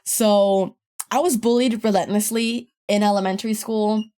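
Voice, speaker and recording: A young woman with a deeper voice, a podcast guest telling stories in a casual, rambling chat full of laughs and swearing. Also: fairly even intonation, normal speed, mellow.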